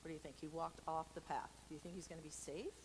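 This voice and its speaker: A woman's voice: sits low, steady tone, fast talker, low-quality recording. A woman leading an informal children's storytime for a church, playful and extroverted, chatting in rambling, self-deprecating asides. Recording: noticeable background noise.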